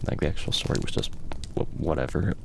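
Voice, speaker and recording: A soft-spoken man doing an ASMR roleplay in a casual, rambling, dryly joking way. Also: a bass voice, quick pace, gravelly, slight background noise. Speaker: ASMR performer playing a furry fandom enrollment clerk.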